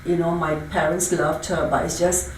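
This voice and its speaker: Guest spot: older woman from Singapore, lower voice, earnest storyteller, distant mic. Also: level tone, normal speed, reverberant, a little hiss or hum. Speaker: business owner guest. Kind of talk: interview.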